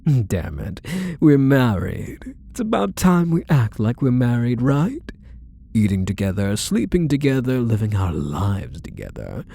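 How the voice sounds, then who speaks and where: A man's voice: lowish voice, vocal fry, mellow, a little hiss or hum, full character voice. A man playing a possessive, doting kidnapper husband in an intimate ASMR roleplay, cooing and coaxing with pet names. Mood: insistent. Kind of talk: ASMR roleplay audio drama, intimate monologue to the listener.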